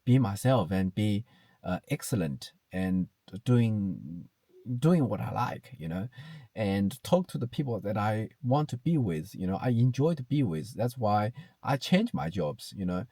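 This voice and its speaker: A man's voice: fairly low pitch, animated, measured pace, dark tone, no room sound. Hesitant man in his thirties, from China. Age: 30s. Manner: hesitant, many fillers, reflective. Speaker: vlogger. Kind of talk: casual self-talk ramble. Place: car.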